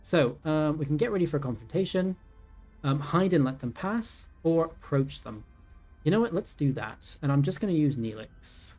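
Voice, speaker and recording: A man's voice: on the high side, lively intonation, normal speed, darkish tone. A man in his thirties giving casual let's-play commentary, thinking aloud with many ums and self-corrections. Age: 30s. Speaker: gaming YouTuber. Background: faint game music.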